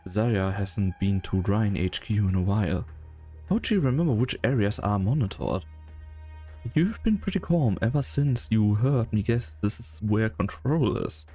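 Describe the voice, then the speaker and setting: A man voicing a calm, perceptive doctor and strategist in an amateur fan dub, speaking in a calm, probing way. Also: on the low side, a little slow, soft background music.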